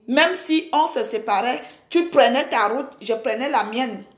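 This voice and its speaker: A woman's voice: a little high, a little slow. Indignant woman scolding, echoey room. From Cameroon. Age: 40s. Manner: indignant, scolding, repeats herself. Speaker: social media personality. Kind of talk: angry Facebook live rant.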